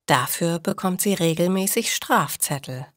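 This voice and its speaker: A woman narrates a simple story for language learners. She speaks slowly, with a lively melody, in a dry, close recording. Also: lowish voice, no room sound. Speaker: narrator of German learning stories. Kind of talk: slow, clear scripted story narration for learners.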